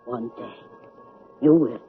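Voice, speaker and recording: A quiet woman confidante played in a radio drama, speaking in short, insistent prompts. The delivery is vintage 1970s radio acting, heard through a narrow, muffled broadcast sound. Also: takes it slowly, soft background music, natural voice. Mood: gentle. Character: Hannah, quiet woman listener gently urging a confession.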